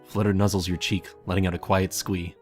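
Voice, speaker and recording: Low-pitched man narrating a story. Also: level tone, brisk, a little mellow. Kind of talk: scripted fan fiction narration. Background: music.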